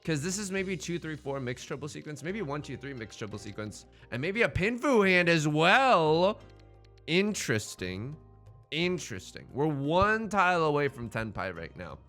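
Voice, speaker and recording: A man's voice: on the high side, big pitch swings, moderate pace, fairly bright tone, soft background music. A man hosting a gaming series with casual, jokey commentary, often stammering and repeating words as he rambles. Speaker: host of a gaming Let's Play series. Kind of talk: casual game commentary with joking banter.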